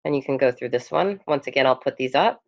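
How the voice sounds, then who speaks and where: A woman's voice: a bass voice, fast talker, gravelly, a little mellow. A woman instructor who is losing her voice, giving an online course lecture into a headphone mic and hesitating often with ums. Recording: dull lo-fi recording.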